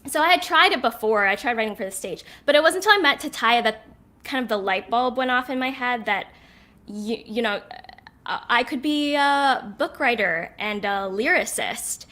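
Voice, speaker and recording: A woman's voice: a little high, animated, normal speed, pushed and bright. A woman talking casually as an interview guest, earnest and often searching for her words. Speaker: guest writer and performer.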